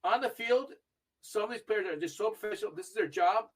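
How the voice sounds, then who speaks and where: An older man with a fairly high voice talks in a chatty, storytelling way as a podcast guest. He grew up in Argentina and perks up when recalling famous matches. He sits a little back from the microphone, so he sounds slightly distant. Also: lively intonation, a little fast, fairly bright tone. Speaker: interview guest, video review manager. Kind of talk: casual podcast interview.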